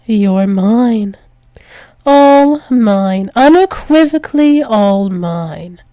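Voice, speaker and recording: Girl doing a possessive alpha werewolf demon lady, asmr roleplay, really slow n teasing, close dry sound. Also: medium pitch, expressive, mellow, no room sound. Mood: doting, possessive. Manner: teasing, coaxing, slow repeated praise, often dropping to whispers. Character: dominant, possessive, doting female werewolf demon lover.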